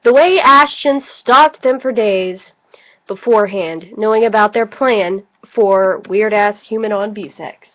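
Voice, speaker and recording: A woman's voice: medium pitch, unhurried. A drunk woman reading a story aloud mock-dramatically as an amateur, breaking off for muttered critical asides, on a lo-fi home recording. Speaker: amateur co-reader of a fan story.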